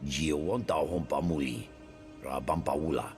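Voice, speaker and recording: An actor voicing a gruff alien prisoner in a video game, speaking emphatically in an invented alien tongue. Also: male voice, extremely low pitch, takes it slowly. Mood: earnest. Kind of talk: video game cutscene acting. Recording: long hall reverb, music behind.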